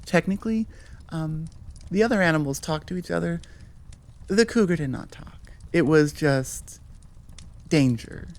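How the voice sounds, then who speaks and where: A woman's voice: deep voice, unhurried, long pauses, darkish tone. An American woman from Nevada chatting informally as an audio creator in a rambling, self-correcting outro. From Nevada, United States. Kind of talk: casual rambling outro chat.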